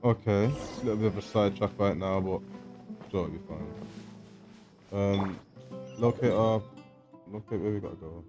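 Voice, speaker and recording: A man giving casual, offhand gameplay commentary as a streamer, a little halting. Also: lowish voice. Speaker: game streamer. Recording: game music under the voice. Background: game music.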